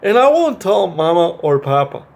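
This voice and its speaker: A man voicing an imaginative, earnest little boy in a halting storybook read-aloud. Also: sits high, very animated, creaky, darkish tone, heavily acted. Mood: earnest, playful.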